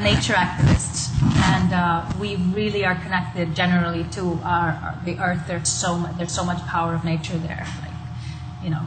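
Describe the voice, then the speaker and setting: Female singer-songwriter, casual interview delivery, echoey room with music underneath. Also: fairly low pitch. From Iceland.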